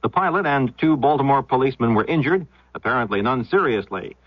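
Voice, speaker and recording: A man's voice: mid-range voice, expressive, brisk, husky, no echo. A man reading a scripted newscast as a radio news anchor on an old, dull-sounding broadcast.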